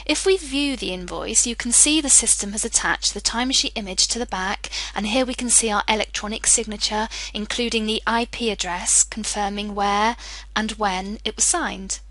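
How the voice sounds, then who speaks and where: A woman presenting a software demo as a scripted screencast, explaining clearly step by step. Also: medium pitch, normal speed, dry recording, poor audio. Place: voice-over for screen recording.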